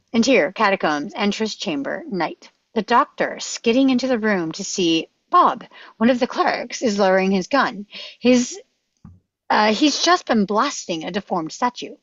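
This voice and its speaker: A woman's voice: mid-range voice, lively intonation, a little slow, a bit bright, no room sound. Woman narrator, dry, dull-sounding mic. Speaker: reader of stage directions. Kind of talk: scripted narration at a table read. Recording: slightly dull, lo-fi microphone.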